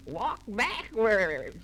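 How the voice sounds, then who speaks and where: A performer voicing a dim professor who gives slow, drawn-out deadpan answers in a 1930s radio comedy. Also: mid-range voice, full character voice. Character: dim, slow-talking professor giving absurd advice. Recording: noisy old 1930s transcription disc.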